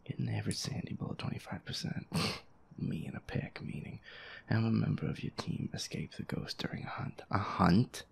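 Feisty, reluctant werewolf boyfriend played by a man for audio roleplay: heavy vocal fry, breathy near-whispers, muttered swearing over a horror game. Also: fairly low pitch, steady tone, normal speed. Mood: annoyed, uneasy. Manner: grumbling, sweary, muttering to himself. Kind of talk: casual gameplay commentary, audio roleplay.

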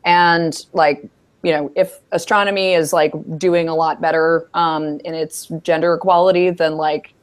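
A woman in her thirties from New York talks through an earbud headset on a video call. Her voice sits low for a woman, and she speaks casually and reflectively, with lots of fillers. She sounds like she is thinking aloud about her own experience. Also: fairly even intonation, a little slow, clean tone. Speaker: panelist. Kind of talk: panel answer over video call. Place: office with bookshelves.

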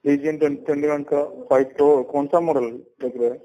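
A man working as a store salesperson, answering a customer on a recorded phone call in a hesitant, halting way. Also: fairly high pitch, steady tone, warm tone.

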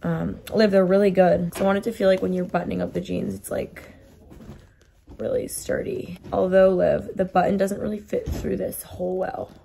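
Hoarse young woman chatting to camera. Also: lowish voice, steady tone, moderate pace, mellow, slight background noise. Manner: chatty, says "like" constantly. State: hoarse, lost her voice. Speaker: vlogger. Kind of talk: casual vlog chat. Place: bedroom, indoors. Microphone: phone camera at arm's length.

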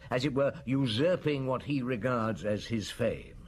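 Casting: male actor as seasoned detective for radio drama, thoughtful and deliberate, lively intonation, mid-pitched, noisy track. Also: lightly acted. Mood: pensive, probing. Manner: measured, deliberate, musing.

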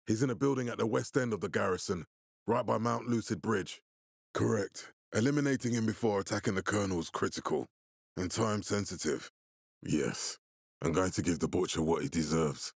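A stern commander in a post-apocalyptic video game. His low voice is husky and gravelly, and he speaks formally, with deliberate authority. The sound is close and dry. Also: dry recording. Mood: grave, resolute. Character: stern, commanding male military leader in a post-apocalyptic city. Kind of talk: video game character dialogue.

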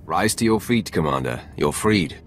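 An actor playing a stern, commanding Sith warrior in a video game, curt, imperious and controlled. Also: male voice, low voice, lively intonation, moderate pace, a little hiss or hum. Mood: cool command.

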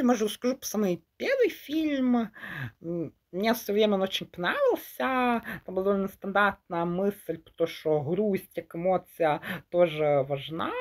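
A young man giving a casual, unscripted movie review in a halting way, often rephrasing mid-thought and mixing Ukrainian with Russian words. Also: high voice. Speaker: film reviewer.

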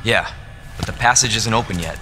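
A man's voice: lowish voice, fairly quick, lightly acted. An actor playing a young American rookie policeman in a video game, earnest, dutiful and concerned. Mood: concerned, resolute. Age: young adult. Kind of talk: dramatic video game acting. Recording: clear game ambience noise behind.

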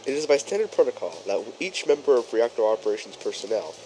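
A man playing a courteous chief engineer who presents a training film in formal, measured, instructional narration. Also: mid-range voice, very animated, a little mellow, lightly acted, poor audio. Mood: instructive, formal. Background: music behind the voice.

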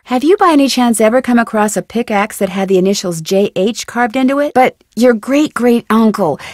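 A woman's voice: on the high side, a little mellow, no echo, clean studio sound. A woman playing a friendly, curious young American amateur detective in a video game, speaking in a perky, inquisitive, conversational way. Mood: persuasive. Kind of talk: scripted game dialogue, conversational.

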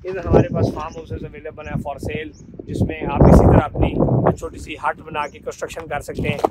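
A man in his thirties with a Pakistani Urdu accent delivers a lively sales walkthrough, pointing out features as he goes. His voice sits slightly high. He is recorded out of doors on a rooftop, with heavy outdoor noise behind him. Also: brisk. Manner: upbeat sales pitch, pointing out sights while talking. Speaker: property consultant. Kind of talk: promotional property walkthrough. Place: outdoors on a rooftop at a rural farm estate. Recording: loud outdoor wind and ambient noise.

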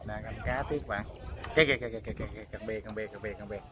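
A young man with a Southern Vietnamese accent, chatting excitedly to viewers as he fishes from a riverside spot at night and repeats words when a fish bites. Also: fairly low pitch, racing, heavy background noise. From Mekong Delta, Vietnam. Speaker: fishing vlogger. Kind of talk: casual fishing commentary to viewers.

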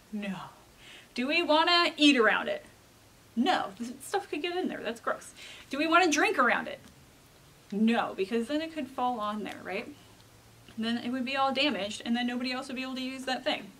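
Bright-voiced young woman, theatrical children's librarian. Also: on the high side. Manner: theatrical, emphatic, with questions to young listeners. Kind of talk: dramatic storybook reading and chatty explaining to children. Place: children's library room, indoors.

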